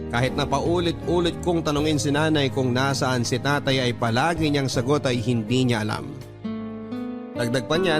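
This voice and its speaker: A man with a Filipino accent reading a listener's letter as a radio host, in measured, sentimental first-person narration over music. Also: medium pitch. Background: music bed.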